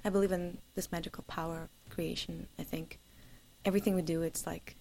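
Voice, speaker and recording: A young woman with a Russian accent, a singer and interview guest, answering in an earnest, halting way. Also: lowish voice, flat delivery, vocal fry, a little mellow, a little hiss or hum, low-quality recording. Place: Los Angeles area.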